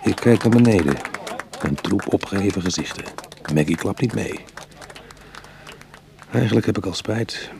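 An actor playing a proud, wry retired burglar in middle age who is easily goaded, in a Dutch radio play. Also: male voice, fairly low pitch, normal speed, creaky, pauses often, crowd noise, background noise. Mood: brooding, wry. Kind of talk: Dutch radio drama acting.

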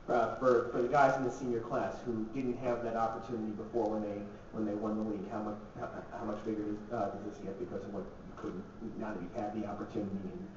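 A male reporter asking a long, halting question at a press conference. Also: lowish voice, background noise. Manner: halting, many restarts. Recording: echoey, distant, dull.

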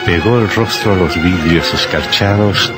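A man narrating an old radio horror drama in a theatrical, measured, husky storytelling voice. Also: low voice, over loud music.

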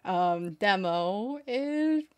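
Slow-paced young woman chatting casually. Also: medium pitch, lively intonation. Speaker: reaction video host. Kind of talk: casual reaction chat. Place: small bedroom streaming setup. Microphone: desk microphone up close.

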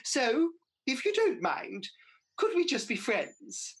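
A kindly British pantomime dame, acted by a woman for a radio show. Her voice is high and husky, leaping up and down with theatrical expression as she chats. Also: huge pitch swings, slow pace, full character voice. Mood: flattered, coy.

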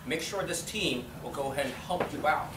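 A man presenter with a slightly high voice, upbeat and jokey, leaning on filler phrases as he coaches an audience. He sounds distant in an echoey little room with hum behind. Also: brisk. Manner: upbeat, jokey, repeats "go ahead and". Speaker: workshop presenter. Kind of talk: seminar talk and Q&A. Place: small meeting room with audience. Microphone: distant camera mic.

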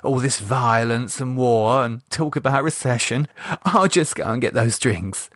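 A man's voice: mid-range voice, unhurried, fairly bright tone, husky, no room sound, clean studio sound. A man voicing a nervous working-class woman who puts on a cheery front, in an audiobook. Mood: flustered. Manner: flustered, forced cheerfulness. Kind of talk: audiobook character voice.

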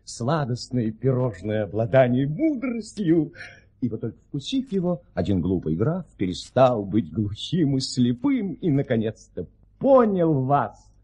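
An actor playing an excitable, reverent count in a radio play, effusive and theatrical, with a husky voice. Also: male voice, medium pitch, unhurried, heavily acted. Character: excitable, reverent count, devoted admirer of a baron. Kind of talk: Soviet radio play acting.

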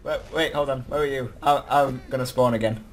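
Excitable young man gaming over loud background noise. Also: mid-range voice, a little fast. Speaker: gaming video host. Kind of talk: casual gameplay commentary. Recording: noisy game audio behind the voice.

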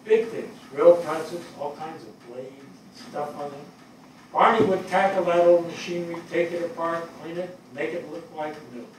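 An actor as an older fire captain reminisces on stage in a conversational, affectionate way. His voice sits slightly high for a man. It comes from far off in a very echoey theatre, with faint noise. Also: steady tone, darkish tone, close to an everyday voice. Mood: fond reminiscing. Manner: conversational, storytelling, with pauses and repeats. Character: weary, affectionate fire captain reminiscing about lost men. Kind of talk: dramatic stage reading. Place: small theatre stage before an audience.

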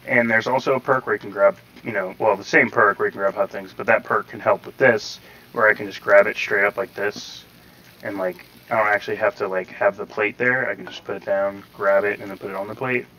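Low, creaky-voiced man giving a game tutorial. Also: fairly even intonation, fairly quick, pushed and bright, low-quality recording. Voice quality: slightly robotic-sounding. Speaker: gaming tutorial host. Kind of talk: casual gameplay tutorial commentary. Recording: slightly robotic processing, faint background noise.

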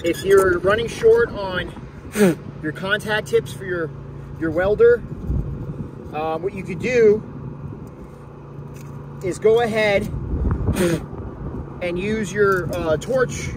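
A man's voice: high-pitched, slow pace, long pauses, noisy background. A young man giving casual, blunt how-to commentary while welding, swearing freely as he explains his work. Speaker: welder vlogger. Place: garage workshop and truck cab, in winter.

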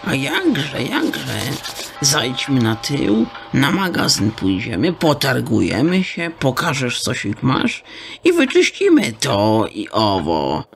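An actor playing a sly, haggling fence shopkeeper who talks in folksy, mangled slang. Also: male voice, mid-range voice, huge pitch swings, slow, gravelly, soft background music, full character voice. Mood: scheming. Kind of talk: radio drama acting.

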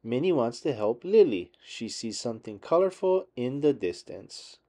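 Man, children's book narrator, mellow tone, lively intonation, measured, expressive read-aloud. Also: mid-range voice.

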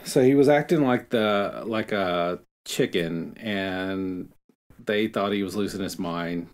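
A man's voice: sits low, slow. A man co-hosting a podcast, retelling a book and reading from it aloud. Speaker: podcast co-host.

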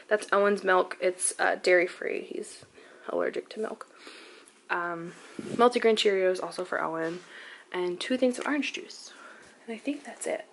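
A woman's voice: medium pitch, slight background noise. A young woman chatting casually in a voice-over, walking through items in her home kitchen and listing them with fillers. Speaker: lifestyle vlogger.

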